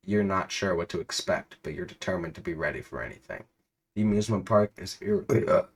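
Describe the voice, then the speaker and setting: A man reads a silly story aloud in a deadpan style. His voice is fairly low and husky, and he stumbles now and then and starts sentences over. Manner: deadpan reading, stumbles and rereads lines. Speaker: reader. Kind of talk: comic dramatic reading of a story.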